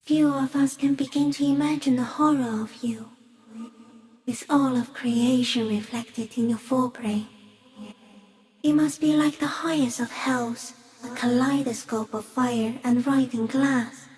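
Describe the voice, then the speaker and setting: A woman voicing an ancient, shy giant stick insect in a video game, gentle and solemn, speaking poetically with hushed, eerie wonder. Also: high-pitched, steady tone, measured pace. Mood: solemn, awed. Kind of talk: slow poetic game monologue.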